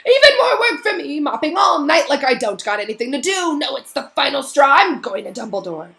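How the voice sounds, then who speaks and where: A woman's voice: high-pitched, big pitch swings, a little fast, creaky, fairly bright tone, voice pushed up, exaggerated voice. A woman voicing a put-upon male school caretaker, grumbling and ranting hysterically in a storybook read-aloud. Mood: outraged. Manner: ranting, aggrieved, uneducated grammar. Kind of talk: children's novel read-aloud.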